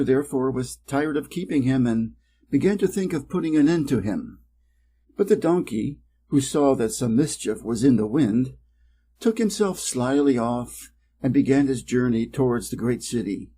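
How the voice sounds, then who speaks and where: A man's voice: medium pitch, warm tone, a little hiss or hum. A man from Wisconsin with an American accent, reading a fairy tale carefully and expressively for English learners. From Wisconsin, United States. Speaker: storyteller, English reading teacher.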